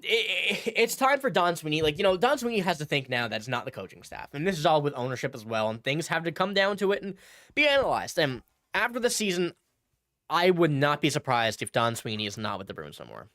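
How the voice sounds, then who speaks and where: A slightly nasal man, a bit under the weather, talks through a casual livestream and answers viewers' comments. His pitch sits a little high and swings a lot. The sound is dry and close, with no room echo. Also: very animated, fairly quick, a bit bright. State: a bit sick. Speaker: sports channel host.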